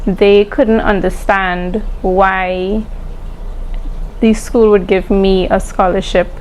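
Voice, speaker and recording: A woman's voice: medium pitch, level tone, slow, darkish tone, a little hiss or hum, poor audio. A woman from the Caribbean recounting her path to university in an interview, composed and articulate, sometimes choosing her words carefully. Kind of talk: personal interview recollection.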